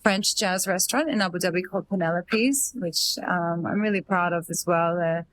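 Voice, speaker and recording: A woman's voice: fairly low pitch, moderate pace, no echo. A woman from Australia, a singer-songwriter and entrepreneur, talks as an interview guest in a thoughtful, rambling way full of fillers. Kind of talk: casual interview chat.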